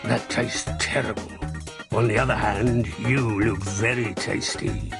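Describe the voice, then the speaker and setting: A man's voice: on the low side, measured pace, creaky, music in the background, pitched below the performer's own voice. A man voicing a hungry, growly male wolf in a children's storybook. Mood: disgusted, menacing. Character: hungry male wolf, gruff villain who turns remorseful.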